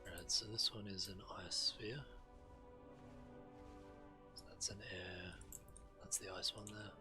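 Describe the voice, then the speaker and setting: Male game streamer, low-pitched, casual commentary thought out aloud over clear background music. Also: fairly even intonation, unhurried. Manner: thinking aloud.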